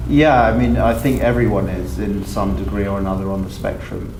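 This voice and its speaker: A man's voice: lowish voice, brisk, a little mellow. A man, an artist on a panel, bantering in a dry, joking way at a gallery talk. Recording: roomy gallery with crowd and noise.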